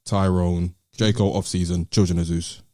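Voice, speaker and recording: Mellow, low-voiced London man. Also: steady tone, moderate pace, no echo, clean studio sound. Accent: British, London. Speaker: podcast host. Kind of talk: casual music discussion among friends.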